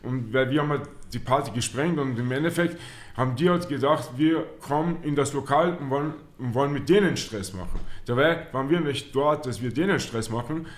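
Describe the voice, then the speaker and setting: A young man telling a story as an interview guest, in colloquial and somewhat halting speech. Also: medium pitch. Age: young adult. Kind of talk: casual storytelling. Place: small indoor studio lounge. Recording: slightly echoey room.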